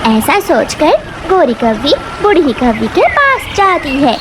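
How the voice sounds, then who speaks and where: A woman's voice: high voice, big pitch swings, slow pace. A woman narrating a scripted children's story as the storyteller. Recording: loud background noise under the voice.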